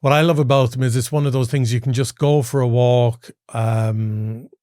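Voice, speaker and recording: A man's voice: medium pitch, fairly even intonation, moderate pace, mellow, no echo, studio quality. A man hosting a podcast interview, easygoing and affirming. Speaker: podcast host. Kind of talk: interview chat.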